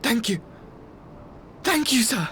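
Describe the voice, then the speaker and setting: An actor playing a nervous, deferential imperial soldier reporting haltingly in a video game cutscene. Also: male voice, very high-pitched, big pitch swings, sharp tone, a little hiss or hum, full character voice. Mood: nervous deference.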